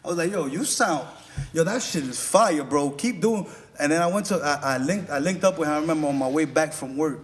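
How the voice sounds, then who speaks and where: A man's voice: fairly high pitch, brisk. A man who is a rapper guest chatting casually on a podcast, telling stories in a loose, slangy way with lots of restarts. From United States.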